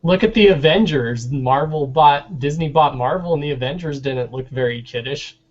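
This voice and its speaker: A man's voice: fairly high pitch, moderate pace. A man talking casually and informally, giving his opinions over a dull-sounding online hangout call. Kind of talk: casual chat. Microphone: online video hangout.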